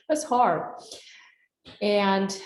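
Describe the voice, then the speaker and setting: A woman's voice: medium pitch, very animated, very slow, echoey room. A woman from Russia with a Russian accent, giving an earnest, personal wellness webinar over a video call and asking her audience questions. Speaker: wellness coach. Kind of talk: live webinar presentation. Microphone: computer mic on a video call.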